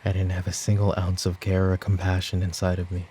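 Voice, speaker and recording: Weary mafia boss, played by a man in an audio roleplay: low, mellow, brooding, burdened by guilt, faint noise behind. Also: monotone, lightly acted. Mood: brooding, confiding. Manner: weary, brooding, speaking in sighs and pauses. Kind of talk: roleplay ASMR audio drama acting.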